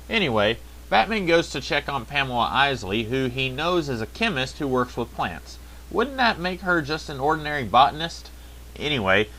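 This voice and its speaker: A man's voice: medium pitch, a bit bright, low-quality recording. A man narrating a scripted review, with wry, sarcastic asides. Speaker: video reviewer.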